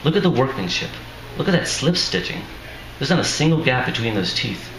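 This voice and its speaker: Guy doing an upbeat mom voice, pitched up, coaxing her kid, roomy echo. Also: sing-song, brisk, a bit bright. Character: woman, a boy's cheerful, practical mother. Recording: echoey room with faint noise.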